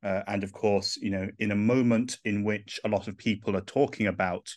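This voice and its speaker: A thoughtful English man in his thirties, talking through his ideas with frequent "uh" pauses. His voice sits low, and the recording is dry and close with no room sound. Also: steady tone, no echo. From England. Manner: thoughtful, many "uh" fillers. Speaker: author and guest. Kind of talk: podcast interview.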